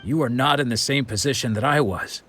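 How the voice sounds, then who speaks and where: An actor voicing a weary wandering swordsman in a game, stern but caring, speaking in a grave, measured way with sighs. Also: medium pitch, a bit bright, faint music behind, close to an everyday voice. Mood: earnest. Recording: game soundtrack behind.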